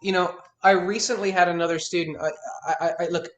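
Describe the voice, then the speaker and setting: A man in his thirties, a guitar teacher, explains technique with emphatic, frank insistence, often restarting words as he drives a point home. His voice sits a little higher than most men's. The sound carries a noticeable room echo. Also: steady tone, fast talker, a bit bright. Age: 30s. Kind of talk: one-on-one lesson consultation.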